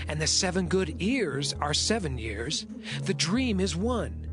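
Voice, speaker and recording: An actor playing an earnest, assured young Hebrew interpreter of dreams in a dramatized scripture reading, measured and solemn, over music. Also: male voice, a little high. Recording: music behind the voice.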